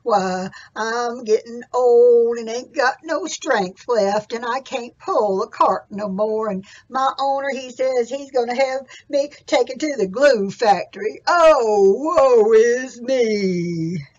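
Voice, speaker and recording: A woman voicing a worn-out old male donkey in a storybook tale, lamenting in a slow wail. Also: on the high side, a little mellow, highs cut off. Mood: woeful.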